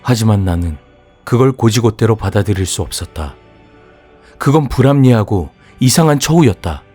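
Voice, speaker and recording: A man reading a horror audiobook as its narrator, telling the story in a measured, even way. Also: on the low side, slightly rough. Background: soft music.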